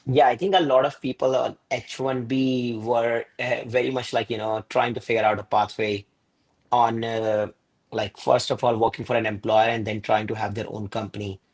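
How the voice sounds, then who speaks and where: A man's voice: medium pitch, level tone, normal speed, breathy edge, rough recording. A man hosting a webinar interview on a video call, talking casually and hesitantly with many fillers. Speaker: host.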